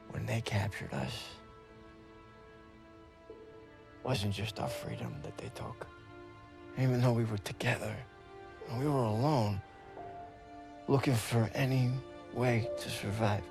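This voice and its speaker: An actor playing a weary young soldier and former prisoner, speaking slowly and earnestly to a comrade. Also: male voice, lowish voice, lively intonation, gravelly, music in the background, heavily acted. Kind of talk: video game cutscene acting. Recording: game score under voice.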